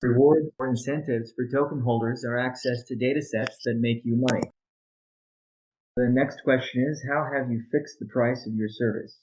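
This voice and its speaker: A man speaking as a company chief executive on a conference call, in measured, deliberate and halting phrases. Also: mid-range voice, fairly even intonation, darkish tone, slight background noise, low-quality recording. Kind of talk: business update and question-and-answer on a conference call.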